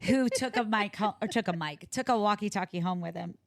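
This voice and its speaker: A woman's voice: medium pitch, a little fast, no room sound, studio quality. A woman co-hosting a podcast in casual banter, blunt and given to exaggeration. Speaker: podcast co-host.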